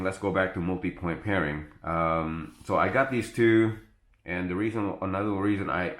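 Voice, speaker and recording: Deep-voiced man reviewing gadgets, echoey room. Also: a bit bright. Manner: halting, self-correcting, explanatory. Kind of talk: casual unscripted product review. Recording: echoey, roomy sound.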